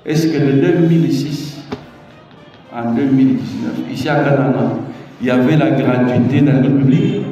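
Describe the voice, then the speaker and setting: A man's voice: medium pitch, moderate pace, dark tone, dull audio, faint music behind. A middle-aged Congolese man with a Congolese French accent gives a public talk. He repeats his key phrases and asks the audience rhetorical questions. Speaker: presidential adviser giving a public talk. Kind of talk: public speech on the constitution. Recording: echoey hall, mic far off.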